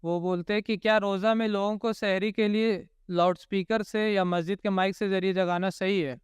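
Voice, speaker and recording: A man's voice: high-pitched, fairly even intonation, normal speed, smooth, no room sound, clean studio sound. A man with an Indian accent hosting a question-and-answer programme, informally relaying questions from viewers. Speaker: host reading out viewers' questions.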